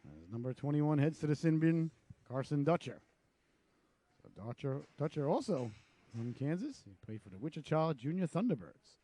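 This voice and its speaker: A man with a raspy voice from a cold, calling live hockey play-by-play with chatty asides. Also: a little high, very animated, mellow. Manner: chatty asides between rapid calls. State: hoarse from a cold. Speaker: play-by-play announcer.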